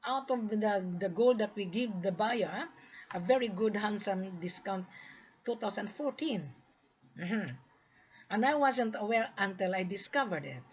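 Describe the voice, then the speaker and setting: A woman born in the Philippines who is Australian, giving an emphatic, insistent unscripted monologue in a husky voice, repeating her points as she explains. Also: medium pitch. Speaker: gold trade seller's mandate presenting to camera. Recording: dull, lo-fi sound.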